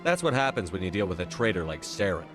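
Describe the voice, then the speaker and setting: A level-headed military commander in a video game. He speaks quickly and bluntly in a fairly low voice, with faint music behind. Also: big pitch swings. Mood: matter-of-fact. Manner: blunt, questioning.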